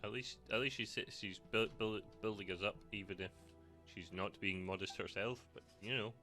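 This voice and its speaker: A man's voice: fairly low pitch, steady tone, moderate pace, bright, forceful tone. A man giving casual, playful gameplay commentary as a streamer, with a fondness for puns. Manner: playful, punning. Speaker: video game streamer. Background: game music.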